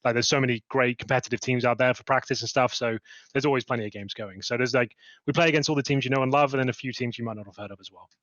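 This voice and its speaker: A man's voice: medium pitch, rapid-fire, fairly bright tone, dry recording, lo-fi sound. A man, an esports player, answering interview questions casually with a rambling, filler-heavy manner.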